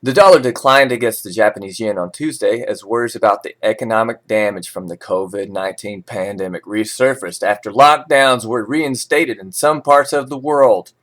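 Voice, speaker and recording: A man's voice: lowish voice, normal speed, hardly any pauses, a bit bright. A man reading financial news as an informal host, breaking into sarcastic, opinionated and folksy asides.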